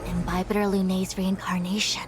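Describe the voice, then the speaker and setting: An actress playing a scholarly, self-assured woman diviner of high rank in a video game, formal and precise. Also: flat delivery, brisk, background noise, close to an everyday voice. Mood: composed, probing.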